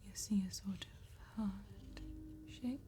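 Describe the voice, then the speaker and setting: Slow, whispering ASMR woman. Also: mid-range voice, steady tone. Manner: slow, gentle, deliberate enunciation with long pauses. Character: soft-spoken female vampire tattoo artist. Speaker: ASMR roleplay performer. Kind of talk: ASMR roleplay, whispered and soft-spoken.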